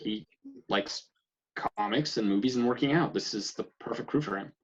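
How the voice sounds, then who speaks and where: A man's voice: medium pitch, normal speed, a little hiss or hum, lightly acted. An actor playing an awkward, polite young man, hesitant and baffled, in a comedic script read over a dull connection. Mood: puzzled. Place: recorded remotely at home on a video call.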